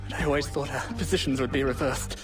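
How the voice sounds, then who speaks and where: An actor playing a stern, proud zealot villain in a video game, speaking formally with lofty scorn. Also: male voice, mid-range voice, steady tone, fast talker, music in the background, close to an everyday voice. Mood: dying, regretful. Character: stern, zealous templar enemy, proud and contemptuous. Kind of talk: video game cutscene acting.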